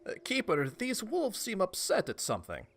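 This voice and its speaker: An actor playing a cold, insolent, aristocratic gentleman with a sinister, haughty manner in an audio drama. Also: sits high, wildly swooping pitch, moderate pace, vocal fry, slightly rough, full character voice. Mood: insolent, cool.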